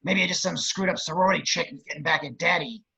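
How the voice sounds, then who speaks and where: A man playing a crude, lecherous, scheming villain running for mayor, with a very raspy voice and wisecracking delivery, in an amateur script read. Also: on the high side, fast, bright, forceful tone, full character voice. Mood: indignant. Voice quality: very raspy, gravelly. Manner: leering, wisecracking.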